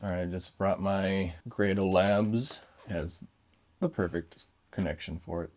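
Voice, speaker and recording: A man's voice: low-pitched, fairly even intonation, measured pace, a little mellow. A man giving a casual, unscripted hands-on gadget review, thinking aloud in short fragments.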